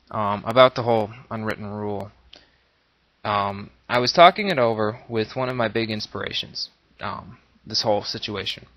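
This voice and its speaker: A dull, lo-fi recording of a man with a fairly low, slightly nasal voice, a little stuffed up with a cold. He talks off the cuff in an earnest, reflective way, explaining his thinking like an online video creator. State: coming down with a cold. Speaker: YouTube Let's Play creator. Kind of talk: casual unscripted monologue. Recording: boxy echoing room, dull lo-fi microphone.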